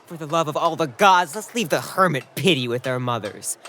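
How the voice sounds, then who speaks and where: A man's voice: a little high, expressive, a little fast, sharp tone, slight background noise. A man playing a fiery, defiant young warrior prince in a Shakespearean audio drama.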